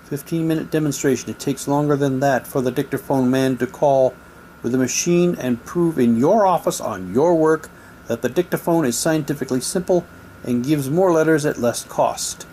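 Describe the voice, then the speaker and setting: A man casually reading printed material aloud in a halting way, with muttered asides to himself. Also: a little high, mellow, a little hiss or hum. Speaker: unboxing presenter. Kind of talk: casual unboxing, reading old newspaper props aloud.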